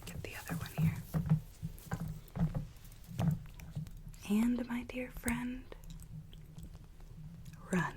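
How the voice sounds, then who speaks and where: A woman's voice: on the high side, a little hiss or hum. A woman whispering an ASMR roleplay as a rogue Alice, a coaxing, sweetly menacing young woman, close to the microphone. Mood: urging. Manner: slow, hushed, coaxing, repeats phrases softly.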